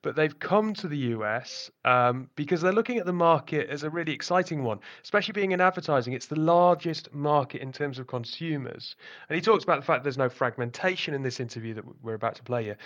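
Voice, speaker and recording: A British man hosting a podcast interview, relaxed and chatty, often starting with "look". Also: mid-range voice, fairly quick, dull audio. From Britain. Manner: relaxed, leans on "look" and "kind of". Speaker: podcast host.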